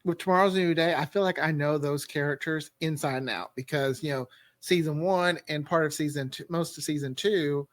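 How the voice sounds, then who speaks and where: A man's voice: on the high side, a little fast, dry recording. A man who writes for an audio drama, chatting casually in a rambling, talkative way in a group discussion. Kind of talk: casual behind-the-scenes group chat.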